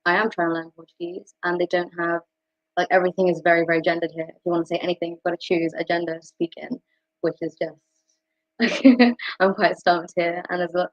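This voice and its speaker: Muffled, even-toned young British woman. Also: fairly low pitch, quick pace, no echo. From United Kingdom. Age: 20s. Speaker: panellist. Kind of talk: reflective panel discussion, personal sharing. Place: dry room on a video call. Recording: muffled lo-fi video call audio.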